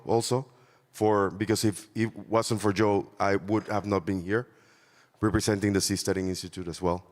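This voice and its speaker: A man from Panama speaking from the audience at a conference on a handheld mic, his voice raspy after a night out, cheerful and joking. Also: on the low side. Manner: cheerful, joking, laughs between lines. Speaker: conference attendee. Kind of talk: casual remarks from the audience. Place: large conference hall. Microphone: handheld mic passed around. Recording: long hall reverb.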